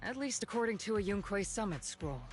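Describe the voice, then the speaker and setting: A woman playing a shrewd, composed colonel in a video game, speaking formally and deliberately with authority. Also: mid-range voice, expressive, darkish tone, faint music behind, natural voice. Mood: composed, resolute.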